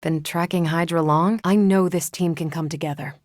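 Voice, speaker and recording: Actress for video game superheroine, ex-military pilot: American, slightly low register, self-assured, dry teasing wit, very dry recording. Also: female voice, fairly even intonation, moderate pace, darkish tone, no echo, lightly acted. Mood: dry, conversational. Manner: casual, dry, teasing confidence. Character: confident, wry female superhero and former military pilot. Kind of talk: video game character dialogue.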